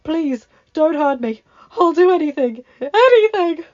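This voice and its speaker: Lady doing a cocky sneering hunter guy, loud, muffled audio. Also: a little high, unhurried, natural voice. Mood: panicked. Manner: sneering and boastful. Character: arrogant, cruel young male hunter. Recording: dull lo-fi sound with music.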